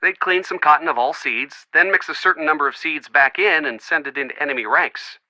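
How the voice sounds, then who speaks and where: A man's voice: a little high, brisk, sharp tone, dry recording. An actor playing a friendly, earnest young man in a video game, chatty and reassuring as if on a call. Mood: chatty explaining. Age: young adult. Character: friendly young man, earnest, bookish, supportive boyfriend type. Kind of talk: video game voice acting, phone conversation.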